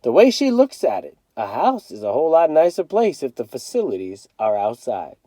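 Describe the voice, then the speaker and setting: A man reading a children's novel aloud as a firm, no-nonsense mother who gently chides her family. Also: mid-range voice, huge pitch swings, normal speed, no echo. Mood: matter-of-fact.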